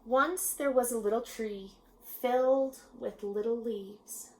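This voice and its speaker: A woman reading a children's picture book aloud in a measured, expressive storytelling manner with pauses. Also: fairly high pitch, slight background noise, rough recording. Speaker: storybook reader. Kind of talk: children's read-aloud narration.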